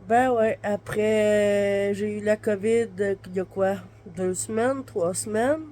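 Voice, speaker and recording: A woman's voice: mid-range voice, steady tone, a little slow, dark tone. A woman in her thirties with a Québécois accent, chatting casually in a home vlog from her bed, her voice stuffy and congested from the flu, rambling with lots of fillers. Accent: Québécois French. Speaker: vlogger. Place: her bedroom, lying in bed.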